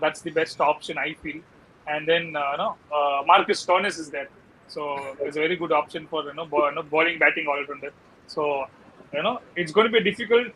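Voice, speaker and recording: A man's voice: sits high, sharp tone, rough recording. A man with an Indian accent talking casually as a cricket panelist, hesitant and often padding his sentences with filler phrases. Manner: hesitant, repeats "you know" constantly. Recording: faint background noise.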